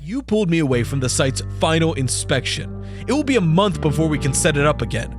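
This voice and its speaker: A man's voice: on the high side, very animated, a little fast. A man playing an exasperated, scornful father who scolds his teenage son in a comic dub. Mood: angry, exasperated. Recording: prominent music under the voice.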